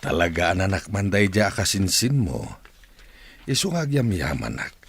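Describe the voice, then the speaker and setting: A caring middle-aged village father in a radio drama, played by a man. His voice is very low and husky, and it moves around with animated swings as he talks to his family. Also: normal speed, full character voice. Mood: earnest, fatherly. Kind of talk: Ilocano radio drama acting.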